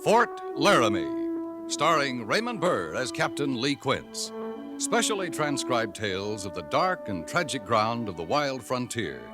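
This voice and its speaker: A man's voice: medium pitch, sing-song, a little slow. A man with an American accent announcing in a grand, theatrical 1950s radio style. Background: music.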